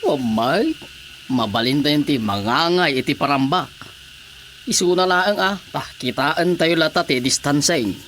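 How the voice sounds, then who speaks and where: A man's voice: on the high side, expressive, unhurried, a bit bright, slight background noise. A man with an Ilocano Filipino accent playing a fawning, teasing village councilman in a radio comedy drama. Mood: curious, amused. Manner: obsequious, teasing. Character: fawning, chuckling village councilman flattering his chairman.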